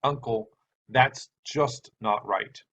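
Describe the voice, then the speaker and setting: A man's voice: mid-range voice, slow pace, fairly bright tone, husky. A man voicing an earnest young nephew who protests and pleads with his uncle. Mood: protesting. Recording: dull sound with background hiss.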